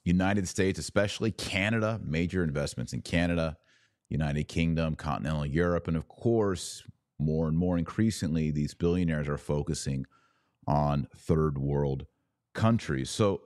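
A man hosting a podcast, giving conversational news commentary in a deliberate, emphatic way with drawn-out pauses. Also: low voice, a little mellow, dry recording, studio quality.

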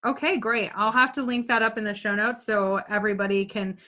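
Bright-voiced upbeat woman podcast host. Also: medium pitch, steady tone, a little fast. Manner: chatty, upbeat, restarts words. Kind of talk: podcast interview.

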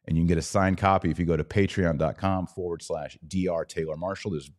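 A man's voice: deep voice, steady tone, fast talker, darkish tone. A man hosting a podcast, giving conversational religious and political commentary in an emphatic, opinionated way with short declarative statements.

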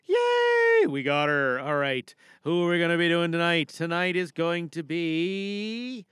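A man's voice: fairly high pitch, big pitch swings, unhurried, a bit bright, smooth, no echo. A man hosting a podcast in a casual, playful, rambling way, close on the mic in a booth. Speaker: podcast host and monologue performer. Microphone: close mic in a recording booth.

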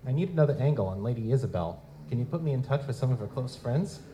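Man acting a mellow, streetwise private eye, noisy recording. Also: medium pitch, lively intonation, quick pace, close to an everyday voice. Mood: brisk, businesslike. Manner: casual, slangy noir sleuth. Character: wry, streetwise male goblin-like private investigator. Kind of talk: live audio drama dialogue and first-person narration. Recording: noticeable background noise.

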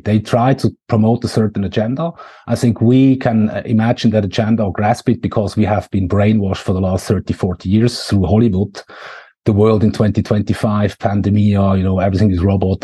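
A man with a Swiss accent, speaking as an interview guest in a halting way, full of fillers and restarts. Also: on the low side, dark tone, breathy edge. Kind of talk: conversational interview.

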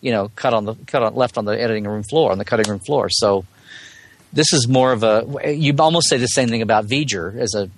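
A man's voice: mid-range voice, brisk, slight background noise. A man in a casual fan discussion as a podcast guest, talking in a digressive, colloquial way and often rephrasing mid-thought. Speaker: podcast guest, Star Trek blogger.

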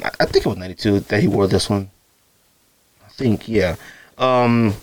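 A middle-aged man hosting a podcast, casually rambling with lots of ums and you-knows, self-deprecating. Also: mid-range voice. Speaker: podcast host. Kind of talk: casual solo sneaker show-and-tell.

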